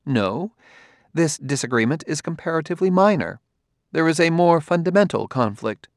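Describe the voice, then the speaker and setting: A man's voice: fairly high pitch, lively intonation, normal speed, no room sound, clean studio sound, pitched above the performer's own voice. A man voicing a haughty, condescending woman scientist in an audiobook, speaking precisely as if lecturing. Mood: lecturing, curt. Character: haughty, cool woman scientist of a spacefaring world.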